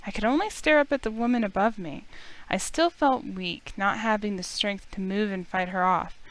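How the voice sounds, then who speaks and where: A woman's voice: medium pitch, moderate pace, a bit bright, lightly acted, rough recording. A woman playing an indignant young human heroine who narrates a visual novel in the first person and voices her own defiant lines. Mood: indignant narrating. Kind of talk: first-person story narration with acted dialogue.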